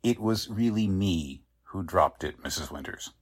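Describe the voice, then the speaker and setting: A man's voice: low-pitched, expressive, measured pace. A man playing a courteous, self-assured small-town doctor in 1940s radio drama style, genial and a little patronising.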